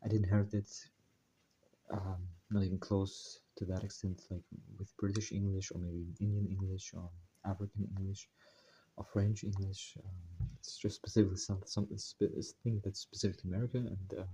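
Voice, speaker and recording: A man hosting his own channel, giving a casual introduction to a text study. He speaks hesitantly, with frequent fillers and self-corrections, while deliberately putting on an American accent. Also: deep voice, level tone, dark tone, slight background noise, low-quality recording. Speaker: channel host.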